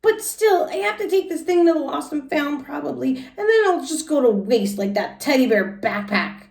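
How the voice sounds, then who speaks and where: Woman playing excitable kindergarten girl for a storybook: high, emphatic, indignant, childish phrasing, roomy echo. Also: moderate pace, vocal fry. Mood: sulky, reluctant. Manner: emphatic, repetitive, childish grammar. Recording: echoey room sound.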